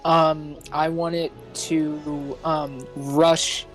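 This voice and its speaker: A man chatting casually as a tabletop game player, hesitant and halting. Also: fairly high pitch, level tone, over music, low-quality recording.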